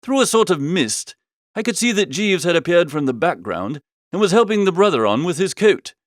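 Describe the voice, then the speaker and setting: A man voicing an amiable, flustered upper-class young gentleman, chattily narrating a comic audiobook in old-fashioned slang. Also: high-pitched, sing-song, normal speed, no room sound, clean studio sound.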